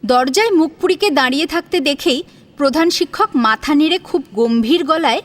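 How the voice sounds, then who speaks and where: High-pitched female story narrator. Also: lively intonation, normal speed. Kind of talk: dramatic scripted audio-story narration.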